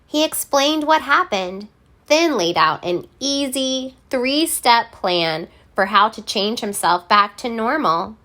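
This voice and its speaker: Female storybook reader, highish voice, very lively intonation, theatrical and deliberate delivery for young children. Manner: theatrical, deliberate, emphatic word by word. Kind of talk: expressive children's read-aloud narration.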